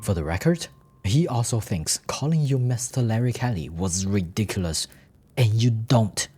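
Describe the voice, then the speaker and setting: A man playing a hot-tempered, jealous executive husband, husky voice. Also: on the low side, lively intonation, normal speed, dark tone, faint music behind. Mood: angry, accusing.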